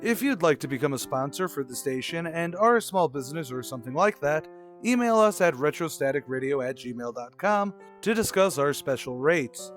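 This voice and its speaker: A man's voice: a little high, lively intonation, normal speed, soft background music. A man working as announcer, reading a scripted sign-off in the style of old-time radio.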